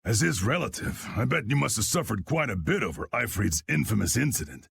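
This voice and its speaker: A man voicing a gruff, commanding old guild boss in a video game, folksy, drawling and stubborn, with a gravelly, husky voice. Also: mid-range voice, sing-song, fairly quick, fairly bright tone, full character voice. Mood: wry, evasive. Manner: folksy, drawling, plain-spoken. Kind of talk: video game dub acting.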